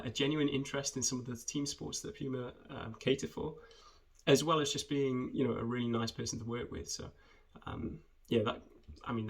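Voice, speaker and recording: A man, talking in a friendly, conversational way with hesitant pauses as he introduces himself and his work for students. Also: mid-range voice. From rural southwest Wales. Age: 20s. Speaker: junior footwear developer. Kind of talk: informal self-introduction video for students.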